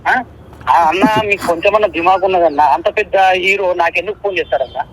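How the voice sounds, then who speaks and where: Guy on a phone call, super fast, bright excitable voice. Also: sits high. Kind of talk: casual, excitable phone conversation. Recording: phone call, faint noise.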